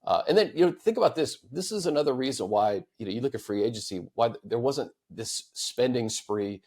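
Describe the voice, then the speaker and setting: An American man hosting a sports podcast, discussing the game casually and giving his opinions in a measured way. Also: mid-range voice, animated, a little mellow.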